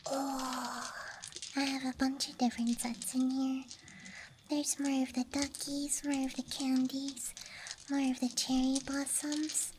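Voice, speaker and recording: A woman softly whispering an ASMR show-and-tell in a hushed, unhurried murmur, with trailing phrases. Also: sits high. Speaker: ASMR host. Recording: clear background noise, night ambience. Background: night campground ambience and clicking of handled objects.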